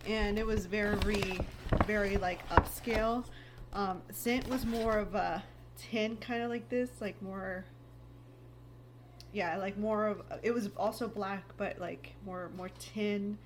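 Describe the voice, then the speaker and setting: A woman in her thirties chatting casually at home in a rambling vlog, full of "like" fillers, her throat a little raspy. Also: medium pitch, fairly even intonation, slow, slight background noise. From United States. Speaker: lifestyle vlogger. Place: home, indoors.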